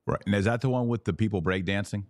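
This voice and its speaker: A man's voice: on the low side, fast talker, darkish tone, no room sound, clean studio sound. A man hosting a podcast interview, chatting informally and thinking aloud as he goes. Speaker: podcast host. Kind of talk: casual interview chat.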